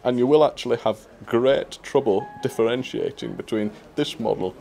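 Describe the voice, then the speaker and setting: Awkward, pompous-sounding train company spokesman played by a man, gravelly, halting, for a spoof promo. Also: mid-range voice, dark tone, faint music behind, lightly acted. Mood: proud, formal. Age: young adult. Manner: halting, stilted, overly formal. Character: awkward, solemn male model train company spokesman in mockumentary. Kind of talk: mock promotional interview. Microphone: lapel mic.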